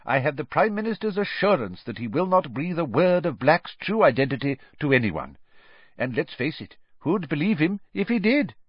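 A pompous, irritable government minister, acted by a man reading a storybook, pitched up and swooping. He sounds flustered and self-justifying, speaking in an official manner. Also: animated, measured pace, few pauses, no echo, lo-fi sound.

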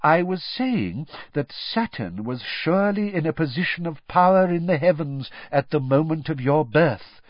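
A man voicing a dreamy, theatrical older woman teacher who speaks in an airy, drawn-out mystical way and turns sharp when cross. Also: on the high side, animated, no echo, pitched above the performer's own voice. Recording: dry, slightly dull studio recording.

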